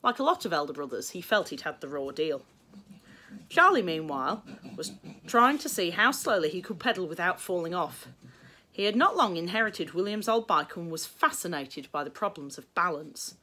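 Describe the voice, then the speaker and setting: A middle-aged English woman reading a children's story aloud in expressive narration. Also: on the low side, normal speed, a little hiss or hum. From England. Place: small book-lined room indoors.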